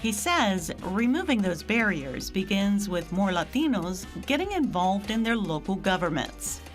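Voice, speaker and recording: A woman reporter narrating a news package in a steady, even voice-over. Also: medium pitch, a little slow, faint music behind.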